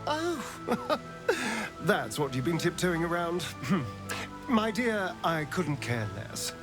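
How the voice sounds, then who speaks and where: A man's voice: high-pitched, sing-song, very slow, over music, heavily acted. An actor playing a suave, teasing male magistrate with a theatrical, indulgent manner in a fantasy drama. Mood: amused, fond. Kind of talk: cinematic fantasy voice acting.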